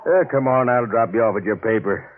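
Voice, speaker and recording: An actor playing a gruff, wisecracking city cop in an old-time radio mystery, brusque, slangy and impatient. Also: male voice, on the low side, brisk, close to an everyday voice. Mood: dismissive. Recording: muffled vintage radio recording with music.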